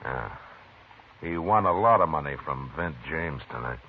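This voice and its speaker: An actor playing a calm, stern Old West marshal in 1950s radio western style, clipped and unruffled. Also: male voice, rumbling low, moderate pace, husky, heavily acted. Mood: musing, grim. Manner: clipped, laconic, unruffled questioning. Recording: muffled, noisy 1950s radio recording.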